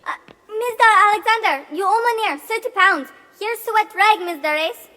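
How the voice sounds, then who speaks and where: Someone playing a high, bright cheeky beggar boy. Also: moderate pace. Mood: matter-of-fact. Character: cheeky young beggar boy, quick-witted assistant. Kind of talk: sci-fi audio theatre acting. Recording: long reverberant stone-chamber echo.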